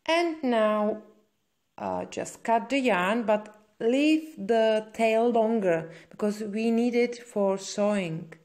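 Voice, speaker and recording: Slow-paced Czech-accented woman. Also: on the high side, darkish tone. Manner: simple, hesitant non-native English phrasing. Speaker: crochet tutorial host. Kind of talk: spoken step-by-step craft tutorial.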